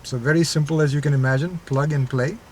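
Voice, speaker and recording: A man's voice: fairly high pitch, steady tone, quick pace, darkish tone. A man giving a casual product demonstration inside a car, explaining things step by step. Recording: clear car-interior background noise. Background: vehicle ambience.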